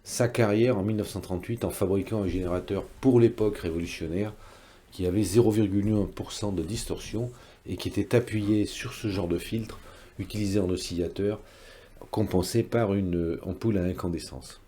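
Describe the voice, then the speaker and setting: A man's voice: on the low side, fairly even intonation, moderate pace, warm tone. A man giving a technical tutorial in a careful, didactic way, rephrasing step by step as he teaches. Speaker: electronics teacher, presenter.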